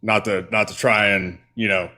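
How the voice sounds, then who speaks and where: A man's voice: on the low side, fairly even intonation, normal speed, bright, forceful tone. A man co-hosting a casual live stream, rambling with many fillers and restarts. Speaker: co-host.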